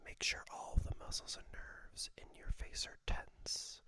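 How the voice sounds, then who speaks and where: This is an ASMR host speaking entirely in a soft, breathy whisper. The delivery is very slow and unhurried, guiding a listener gently through a relaxing meditation and body scan. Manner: gentle, soothing, unhurried instruction.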